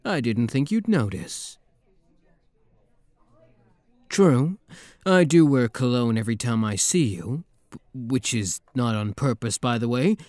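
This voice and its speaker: Man playing a flirty, animated demon prince. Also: medium pitch, a little slow, dry recording, natural voice. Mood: flirty, teasing. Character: flirty, playful demon prince, the listener's rival. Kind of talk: intimate ASMR roleplay audio.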